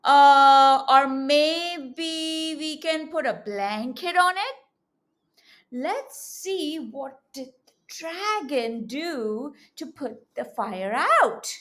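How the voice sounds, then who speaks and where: Lady doing an animated read-aloud for little kids, really slow, big swoopy pitch, asks and repeats stuff like a teacher. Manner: animated, exclaiming, with coaxing questions and repetition. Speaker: children's storybook reader and teacher. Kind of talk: interactive read-aloud for young children.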